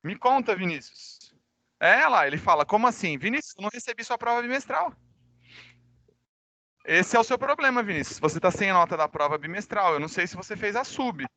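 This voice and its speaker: Brazilian male teacher, forceful bright tone, dry close sound, slightly under the weather with a cold, informal and conversational with his online class. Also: sits high, animated, a little fast, no room sound. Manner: informal, conversational, addresses students directly. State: recovering from a cold. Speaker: high school physics teacher. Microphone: microphone.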